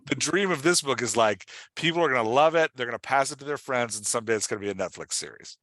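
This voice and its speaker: A man's voice: medium pitch, expressive, fast, fairly bright tone, dry recording. A man hosting and coaching in a conversational way, explaining things emphatically and asking leading questions. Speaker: podcast host and writing coach.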